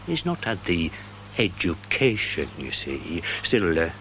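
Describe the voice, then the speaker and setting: An actor playing a pedantic, self-important, slightly dim British police sergeant in a radio drama, with a wordy, deliberate manner. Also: male voice, deep voice, very animated, a bit bright, slight background noise, full character voice. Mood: confiding.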